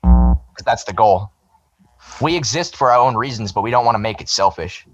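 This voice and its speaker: A man's voice: fairly low pitch, lively intonation, normal speed, low-quality recording. A man in his twenties from the American South, a guest musician in a rambling, swear-heavy casual podcast chat. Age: 20s.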